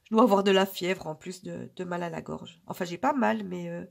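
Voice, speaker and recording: A woman with a hoarse, husky voice from a cold, chatting casually and rambling to her viewers with many pauses. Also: on the low side, a little mellow. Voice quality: gravelly. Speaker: budgeting vlogger.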